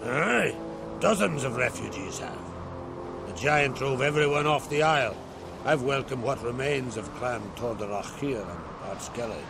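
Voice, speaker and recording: An actor playing an older island king and father in a video game cutscene, speaking in a steady, measured, paternal way. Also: medium pitch, creaky. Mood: calm, paternal. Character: older jarl, father of two grown children. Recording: game audio with music behind.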